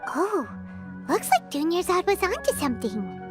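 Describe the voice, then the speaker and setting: Very high, squeaky little fairy companion. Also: female voice, sing-song, unhurried, music in the background, full character voice. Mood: knowing. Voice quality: squeaky, childlike. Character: tiny childlike fairy companion, chatty, cheeky, easily astonished. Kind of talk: video game voice acting.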